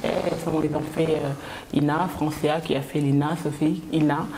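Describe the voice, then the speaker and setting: A woman from Kinshasa with a Congolese French accent, a gospel singer answering interview questions hesitantly, with many pauses. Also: low-pitched, little pitch movement, warm tone, slight background noise, poor audio.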